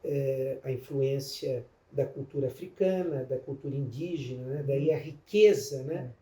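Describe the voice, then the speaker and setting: Dark-voiced Brazilian male interviewer, distant. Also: mid-range voice. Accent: Brazilian Portuguese. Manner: affirming, says frequent short agreements, measured. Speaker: interviewer, host. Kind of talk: interview conversation. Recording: roomy echo, mic at a distance.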